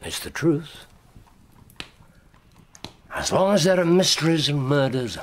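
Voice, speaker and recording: An actor playing a brilliant, musing English detective in a radio drama, with deliberate, theatrical phrasing. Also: male voice, on the high side, very animated, a little hiss or hum. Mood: earnest. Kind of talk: BBC radio drama acting.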